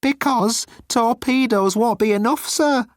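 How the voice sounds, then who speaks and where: A man voicing a timid, pleading young alien officer with a squeaky, flustered voice in a sci-fi audiobook. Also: unhurried, no echo, studio quality. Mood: nervous, earnest. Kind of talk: audiobook character acting.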